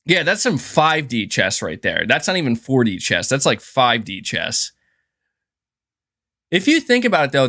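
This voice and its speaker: A man's voice: on the high side, fairly bright tone. A man hosting a livestream in casual, chatty commentary, who often chuckles and says "dude" and "man". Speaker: livestream host.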